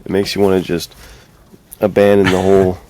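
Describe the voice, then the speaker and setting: A man with a deep voice, speaking as a podcast co-host. His delivery is conversational and laid-back, full of everyday slang. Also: level tone, brisk, dark tone, slight background noise. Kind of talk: casual chat.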